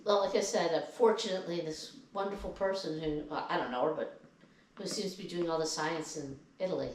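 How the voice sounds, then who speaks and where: A woman's voice: low-pitched, a little fast. A middle-aged woman co-hosting a podcast in casual, teasing chat. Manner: teasing, mock-scolding. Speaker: podcast co-host, host's mother. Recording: echoey room, distant from mic.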